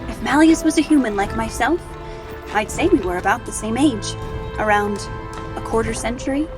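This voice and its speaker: An earnest young female soldier, played by a woman tells a fantasy war story in the first person. The voice is fairly high and the scripted delivery dramatic, with music clearly behind it. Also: normal speed, lightly acted. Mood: earnest, grave. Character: earnest young human female artillery sergeant recalling battle.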